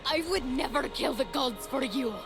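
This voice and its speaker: A woman's voice: high-pitched, moderate pace, loud music behind the voice, natural voice. A woman voicing a brave, anguished young heroine in a video game, urgent and emotional. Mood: defiant. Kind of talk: video game cutscene acting.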